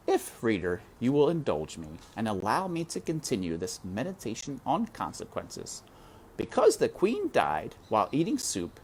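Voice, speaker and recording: Male storyteller reading chapters aloud, unhurried delivery, wide swooping pitch, speaks straight to the listener. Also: husky. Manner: expressive, speaks to the reader directly. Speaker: reader. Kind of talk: children's book read-aloud.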